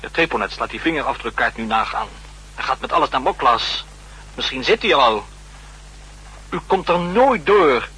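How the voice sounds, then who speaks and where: An actor playing an anxious, remorseful junior agent in a 1960s radio drama, deferential and halting as he confesses. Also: mid-range voice, expressive, pushed and bright, breathy edge, exaggerated voice, poor audio. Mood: dejected. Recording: humming, hissy 1960s radio recording.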